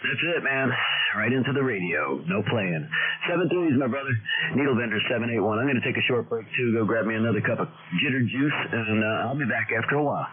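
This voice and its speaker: A man's voice: medium pitch, lively intonation, quick pace, hardly any pauses, a loud crowd. A man in his sixties chatting on a radio band in a laid-back, friendly way, saying dude and man a lot. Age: 60s. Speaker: radio operator. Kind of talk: casual on-air radio chat. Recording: narrow radio audio with static.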